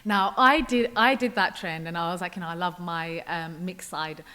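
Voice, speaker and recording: A young woman talking calmly and reflectively as a guest on a podcast. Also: fairly low pitch, moderate pace. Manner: calm, reflective, often quotes herself. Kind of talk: casual interview chat.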